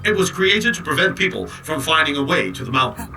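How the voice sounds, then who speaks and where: A man's voice: fairly high pitch, sing-song, pushed and bright, exaggerated voice, rough recording. A polite mechanical man, stiff formal delivery, whirring gears, audio drama with music under it. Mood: formal, matter-of-fact. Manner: measured, formal, stilted delivery. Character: polite clockwork mechanical man, literal-minded and steady. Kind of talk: full-cast audio drama. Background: music, gear sounds.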